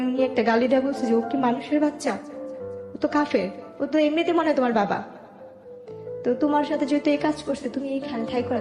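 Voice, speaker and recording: An echoey room surrounds a woman's fairly high voice. She speaks with a Bangladeshi accent, recounting her own life to an interviewer in everyday conversational words, often acting as reporter of other people's dialogue. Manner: colloquial, step-by-step narrating with quoted dialogue. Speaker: interview guest telling her life story. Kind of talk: personal testimony in conversational speech. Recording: echoey room with music underneath. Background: background music.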